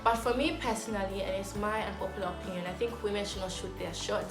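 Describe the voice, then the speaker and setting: A woman's voice: mid-range voice, steady tone, fast. Young woman vlogger in an echoey room. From Zambia. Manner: chatty, rambling with many fillers and restarts. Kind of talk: casual talk to camera. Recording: echoey room with music behind. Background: clear background music.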